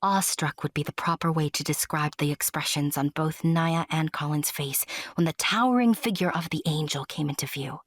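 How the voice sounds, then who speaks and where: A woman's voice: low voice, moderate pace, few pauses, dry recording, studio quality. A woman narrating a scripted story, with conversational asides to the listener. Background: music.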